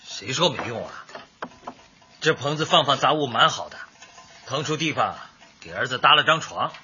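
Gruff, forceful, stubborn husband. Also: male voice, mid-range voice, animated, normal speed. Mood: grumbling, frustrated. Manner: blunt, grumbling. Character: gruff, stubborn, hot-tempered husband and father. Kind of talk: Chinese radio drama acting. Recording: muffled radio drama with faint music.

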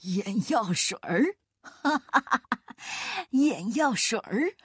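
A woman voicing a haughty, irritable male astrologer in a children's story, with a breathy rasp and a pompous, sneering manner. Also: mid-range voice, big pitch swings, takes it slowly, a little mellow, dry recording, studio quality, full character voice. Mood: mocking. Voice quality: breathy, raspy.

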